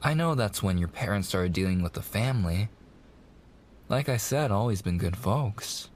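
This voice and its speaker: An actor playing a mafia boss's young son, an eager, sweet fiancé coaxing in slangy gangster talk in an intimate roleplay. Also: male voice, fairly low pitch, animated, moderate pace, darkish tone, a little hiss or hum, close to an everyday voice. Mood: earnest. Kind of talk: boyfriend ASMR roleplay, intimate monologue.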